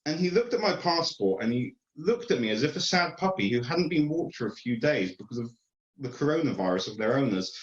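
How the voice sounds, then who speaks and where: A man in his twenties with an English accent telling a comic personal story in a theatrical, dramatic way with deliberate pauses. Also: a little high. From England. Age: 20s. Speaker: amateur storyteller at an online speaking event. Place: indoor room, online video event. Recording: roomy, slightly muffled online call.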